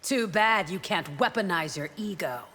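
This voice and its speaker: An actress voicing a fierce, devout and loyal warrior bodyguard in a fighting game, speaking formally, proud and defiant. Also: female voice, on the low side, lively intonation, normal speed, close to an everyday voice. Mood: defiant, taunting. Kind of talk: video game fight intro dialogue. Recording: reverberant hall, noisy game backdrop.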